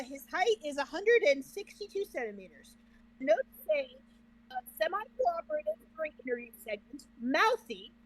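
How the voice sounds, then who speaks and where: High, very lively woman chatting. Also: rough recording. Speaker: reaction co-host. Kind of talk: casual reaction chat.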